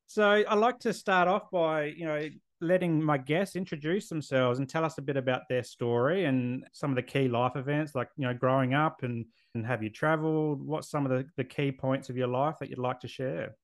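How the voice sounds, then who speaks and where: A man's voice: mid-range voice, moderate pace, few pauses. A man in his thirties with an Australian accent, hosting a casual podcast interview in a friendly, chatty way. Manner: friendly, chatty, asks questions with fillers. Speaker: podcast host.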